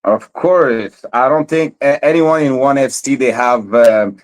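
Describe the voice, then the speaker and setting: A man's voice: mid-range voice, a little slow, rough recording. A young man from Montreal, a fighter guest in a casual interview, who stammers and repeats words often. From Montreal, Canada.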